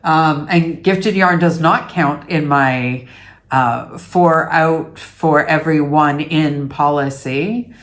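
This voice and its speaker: A middle-aged woman from Canada, talking slowly and easily to the camera from her dining room. Her voice sits a little low, and she rambles with fillers and self-deprecating asides. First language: English. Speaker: yarn vlogger host. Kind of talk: casual chat to camera.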